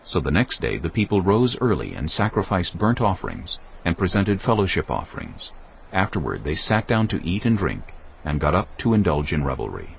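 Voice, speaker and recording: A man narrating scripture in a steady, formal storytelling manner. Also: low-pitched, normal speed, gravelly, a little hiss or hum. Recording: dull lo-fi audio with loud background score.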